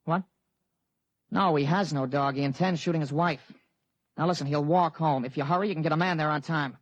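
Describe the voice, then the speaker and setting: A man's voice: fairly high pitch, a little fast, no room sound, close to an everyday voice, low-quality recording. An actor playing an urgent man making a formal, clipped report by phone in a 1950s radio drama. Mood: urgent, official. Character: boy impersonating his father, urgent adult man on phone. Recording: dry vintage 1950s radio recording.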